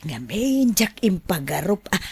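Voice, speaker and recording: A woman's voice: mid-range voice, big pitch swings, creaky, darkish tone, slightly rough, exaggerated voice. A woman acting in a radio drama as a coy mature woman being courted, giggly and hesitant. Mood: coy, delighted. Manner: giggly, hesitant, drawn-out delivery.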